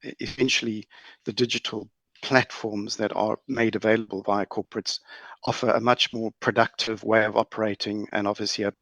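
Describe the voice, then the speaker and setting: A middle-aged man with a South African accent answering as a panel guest in a measured, hesitant, formal way. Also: lowish voice, pushed and bright, slightly rough, dry recording, low-quality recording. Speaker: corporate lawyer, panel guest. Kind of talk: considered panel discussion answers.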